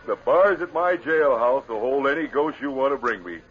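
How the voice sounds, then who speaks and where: An American actor playing a hearty, take-charge Old West sheriff, folksy and authoritative, in a 1950s radio western. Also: male voice, medium pitch, lively intonation, moderate pace, breathy edge, phone-quality sound. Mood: jovial, relieved.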